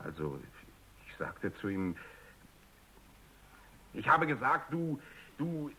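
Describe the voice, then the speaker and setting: A man's voice: mid-range voice, very animated, slow pace, pushed and bright, exaggerated voice, low-quality recording. An actor playing an embarrassed, deferential male technician in a radio drama, speaking hesitantly and politely. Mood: embarrassed, reluctant.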